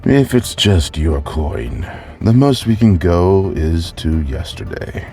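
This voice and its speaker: A man playing a sly, formal alien villain in an audio drama, with a gravelly, deliberate delivery. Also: sing-song, mellow, soft background music, full character voice. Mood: smooth, unhurried. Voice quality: very deep, gravelly. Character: sly non-human alien villain, widowed, coolly formal.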